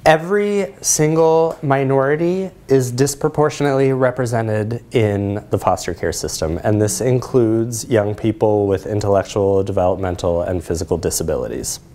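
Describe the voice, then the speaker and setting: A man's voice: on the low side, moderate pace, hardly any pauses, mellow. A man around forty with an American accent, explaining things as a presenter taking questions in a lecture room.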